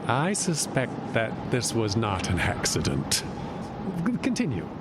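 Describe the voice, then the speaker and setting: An actor playing an amused, urbane Greek god posing as a stranger in an audio drama, drawing out words in a coy, theatrical way. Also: male voice, huge pitch swings. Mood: curious, urbane. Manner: theatrical, drawn-out, coy delivery. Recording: loud outdoor ambience and rumble.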